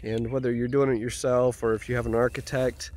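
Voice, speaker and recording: A man's voice: medium pitch, level tone, brisk, darkish tone. A man with a stuffy head cold, casually walking viewers around his house build outdoors, easygoing and rambling. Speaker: homeowner vlogger. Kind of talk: casual site walkthrough.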